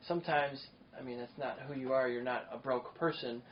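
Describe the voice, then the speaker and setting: A man's voice: mid-range voice, fairly even intonation, brisk. Enthusiastic rambling podcast host man. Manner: rambling, enthusiastic, many fillers. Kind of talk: casual chat. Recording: dull lo-fi sound with faint noise.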